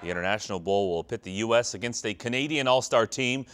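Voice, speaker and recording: A man's voice: on the low side, quick pace, fairly bright tone. An American man reading scripted sports news as a television anchor.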